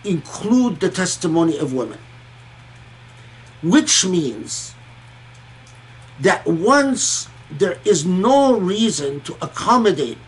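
A man from Egypt and Kuwait giving an informal scholarly commentary on scripture, thoughtful and halting, often repeating words and pausing. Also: high-pitched, big pitch swings, low-quality recording.

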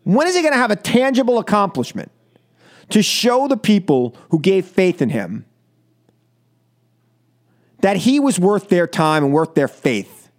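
A high-voiced American man holds forth as a political talk radio host. His pitch leaps around as he drives points home, repeating phrases with combative emphasis. The delivery is an opinionated call-in show monologue. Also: big pitch swings, measured pace, pauses often.

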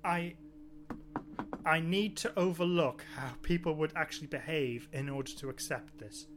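A man's voice: fairly high pitch, normal speed. A man chatting casually on a podcast, complaining sarcastically about a TV episode. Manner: exasperated, sarcastic, animated complaining.

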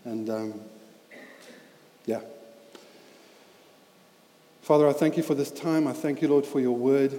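A man's voice: medium pitch, mellow. South African man preaching in echoey hall. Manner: halting, many fillers and restarts, earnest. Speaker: lay preacher. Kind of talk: sermon with personal story. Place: church hall. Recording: echoey hall with long reverb.

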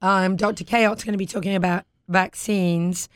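A British woman hosting a talk show in casual, playful chat, with a husky voice recovering from being lost. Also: mid-range voice, fairly even intonation, moderate pace, dry recording, studio quality. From Britain. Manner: playful, bantering.

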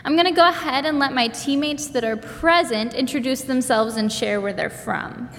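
A young woman from Kansas, a student intern, reading a prepared church announcement in a clear, bright way. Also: high voice, normal speed. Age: young adult. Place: church sanctuary. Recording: reverberant hall, faint noise.

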